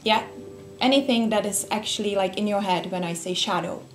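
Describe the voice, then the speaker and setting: A woman's voice: medium pitch, brisk, slight background noise. A young woman from the Czech Republic with a Czech accent, giving a friendly, casual talk to a small group with plenty of fillers and restarts. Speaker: speaker giving a workshop talk. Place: room with a group of listeners.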